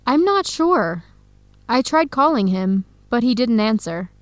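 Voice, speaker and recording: A hopeful young woman in an educational radio drama. Her voice sits a little high, and she delivers each line clearly and deliberately. The recording is close and dry. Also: sing-song, no echo. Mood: uncertain, hopeful. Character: young Ethiopian-American woman exploring Ethiopia, earnest and hopeful.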